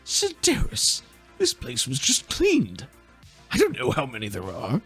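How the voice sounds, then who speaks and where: A man voicing a dim, vain, easily bored teammate in a tabletop game, with a slow, self-assured manner. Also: medium pitch, wildly swooping pitch, vocal fry, a bit bright, slightly rough, soft background music, full character voice. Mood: affronted.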